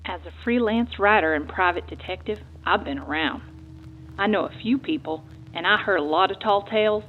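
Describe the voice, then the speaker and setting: A woman's voice: fairly low pitch, narrow, tinny audio, a little hiss or hum, natural voice. A woman playing a folksy, earnest amateur detective and monster hunter with a Texan accent, in a dramatic reading of a memoir. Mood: intrigued.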